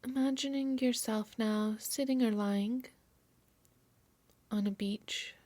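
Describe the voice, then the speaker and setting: A woman yoga nidra instructor softly narrating a guided meditation in a soothing, measured, breathy voice. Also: on the high side, steady tone, dry recording. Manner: soft, soothing, measured delivery.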